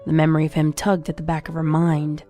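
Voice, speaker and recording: A woman reading a scripted story as narrator, in a measured, suspenseful, dramatic storytelling manner. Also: low voice, completely flat delivery, dark tone. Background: music.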